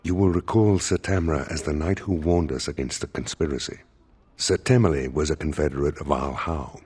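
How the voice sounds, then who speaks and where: A stern male seneschal for a fantasy game. His voice is low and rough around the edges. He speaks formally and with measure, with a dry, wry edge. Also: moderate pace, a little mellow. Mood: dry, weary. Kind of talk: video game voice acting.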